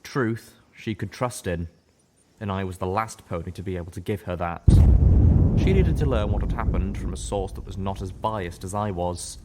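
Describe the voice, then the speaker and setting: A man reading a dramatic piece of fiction as an audiobook narrator, with noise behind his voice. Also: normal speed. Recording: noticeable background noise with low rumble.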